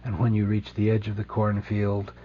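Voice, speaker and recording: A man leading a guided meditation in a slow, soothing, gentle way, leaving deliberate pauses between phrases. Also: lowish voice, monotone, highs cut off, slight background noise.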